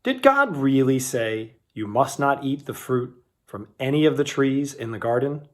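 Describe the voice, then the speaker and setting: A man voicing a shrewd, tempting serpent in a Bible story, with a drawn-out, persuasive delivery. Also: medium pitch, lively intonation, natural voice. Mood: sly, tempting.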